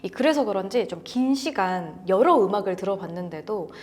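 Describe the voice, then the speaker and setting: A woman with a very animated, varied pitch, speaking as co-host of a gear review. She shares her impressions in a conversational style. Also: a little fast.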